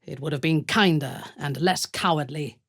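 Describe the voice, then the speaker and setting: A woman playing a proud, ruthless dark elf commander in a video game, speaking formally and haughtily in a breathy, husky voice. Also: low voice, no echo, studio quality. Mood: haughty, stern. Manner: measured, haughty, formal diction. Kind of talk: video game character dialogue.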